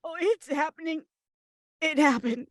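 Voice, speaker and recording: A woman's voice: high-pitched, a little slow, dry recording, studio quality. A woman acting a desperate, mortified bride-to-be in a comedy table read, plaintive and blunt. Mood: panicked.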